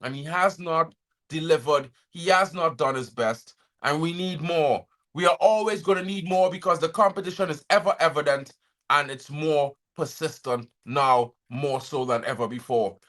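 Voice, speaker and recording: A man's voice: a little high, normal speed, fairly bright tone. A man from Trinidad and Tobago hosting a football fan channel, reading transfer news aloud and cutting in with chatty, opinionated asides to his viewers.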